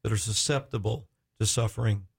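Low-voiced, effusive male radio host. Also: gravelly, breathy edge. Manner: effusive, halting and searching for words.

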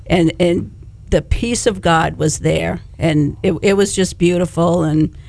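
Church lady sharing her experience on radio, creaky voice, kinda repeats herself. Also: fairly low pitch, fairly even intonation, unhurried, darkish tone, dry recording. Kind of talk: casual testimonial on a radio show.

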